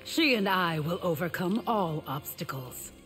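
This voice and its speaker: A woman's voice: fairly low pitch, animated, measured pace, fairly bright tone, faint music behind. An actress playing a determined, questioning young female warrior in a video game. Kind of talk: video game character dialogue.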